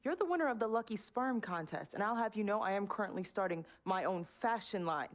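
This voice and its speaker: A woman's voice: mid-range voice, animated, a little fast, close to an everyday voice. Woman as sassy American girlfriend, swooping intonation. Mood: indignant, proud. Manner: haughty, taunting. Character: sassy, proud, fashion-minded girlfriend of star athlete. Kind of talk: video game cutscene acting.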